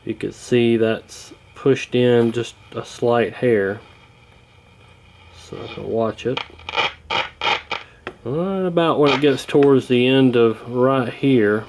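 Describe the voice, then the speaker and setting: A man's voice: medium pitch, takes it slowly, pauses often, background noise. Man casually talking through a repair. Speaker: host of a radio repair channel. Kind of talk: casual hands-on repair walkthrough.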